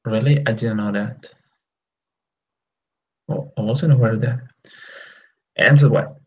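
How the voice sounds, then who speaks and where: Halting man on a dull lo-fi call line. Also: medium pitch, darkish tone. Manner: halting, searching for words with many fillers. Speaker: learner on a practice call. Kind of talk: casual chat over video call. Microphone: video call. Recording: dull lo-fi video call audio.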